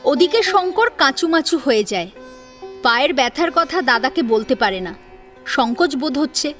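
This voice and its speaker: High-pitched woman narrating a story, animated. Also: moderate pace, faint music behind. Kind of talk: dramatic scripted story narration.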